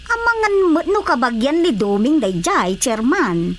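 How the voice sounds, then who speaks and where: A woman's voice: sits high, sing-song, measured pace, music in the background. A woman playing an anxious, gossipy village character in a comedy radio drama, fretting and exclaiming.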